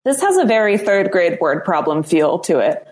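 A woman's voice: on the low side, lively intonation, normal speed, darkish tone. Giggly woman co-hosting a podcast. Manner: giggly and playful. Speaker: podcast co-host. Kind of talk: casual podcast chat.

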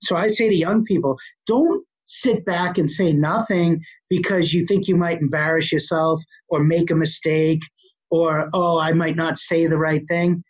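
A middle-aged speaker with a Boston accent, answering an interview question in a confident, forceful, passionate way. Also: medium pitch, steady tone, measured pace. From Boston, USA. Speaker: guest, health advocate. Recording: dull lo-fi recording.

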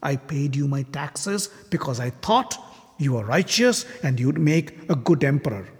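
A man voicing an arrogant, furious king who hurls scornful insults and shouts in defiance. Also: on the high side, sing-song, unhurried. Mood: indignant. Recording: long hall reverb.

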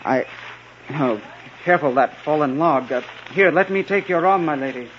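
An actor playing a gallant, confident young outlaw with a courtly, bold manner in an old radio drama. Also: male voice, a little high, moderate pace, slight background noise, natural voice. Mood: tender, courtly. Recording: muffled vintage radio recording.

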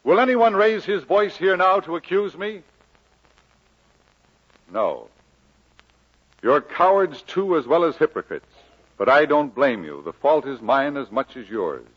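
An impassioned, reproachful preacher, high-pitched. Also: male voice, sing-song, a little slow, long pauses, fairly bright tone, slightly rough, heavily acted. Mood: solemn reproach. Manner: solemn, oratorical, reproachful. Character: embittered Welsh preacher denouncing his hypocritical congregation. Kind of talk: 1940s radio drama acting. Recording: dull old 1940s radio recording.

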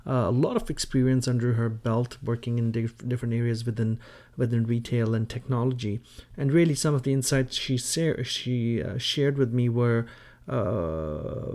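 A male podcast host with a mid-pitched voice talks in a conversational way. He pauses often and feels his way through his phrases as he presents his show. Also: a little mellow. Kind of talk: conversational podcast hosting, introducing guests.

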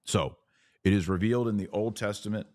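Deep-voiced man explaining religious matters. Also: steady tone, darkish tone, no room sound, studio quality. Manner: emphatic, deliberate teaching with repetition for effect. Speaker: podcast host and religious commentator.

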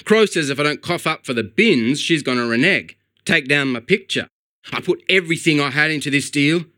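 A frazzled middle-aged real estate agent , played by a man, rants, agitated and cursing at his luck. His voice is bright and forceful, pitched a touch high. He sounds exasperated throughout, a storybook figure at the end of his rope. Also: lively intonation, a little fast, no echo, clean studio sound.

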